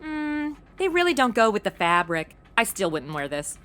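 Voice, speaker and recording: Woman acting as sassy modern young woman, bright high-pitched voice, sarcastic, breezy, slangy, comedy audio drama. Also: big pitch swings, normal speed. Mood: unimpressed. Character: Madison, sassy, blasé modern young woman amateur sleuth.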